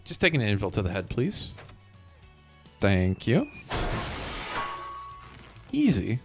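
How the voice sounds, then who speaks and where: A man's voice: on the low side, wildly swooping pitch, slow pace. Casual male game streamer thinking aloud. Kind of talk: casual live gameplay commentary. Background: game music.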